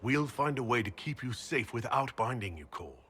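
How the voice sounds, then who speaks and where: An actor voicing a level-headed, indulgent heroic leader in a video game, speaking in a measured, reassuring manner. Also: male voice, mid-range voice, animated, fairly bright tone, slightly rough. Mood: concerned, steady. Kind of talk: video game character acting.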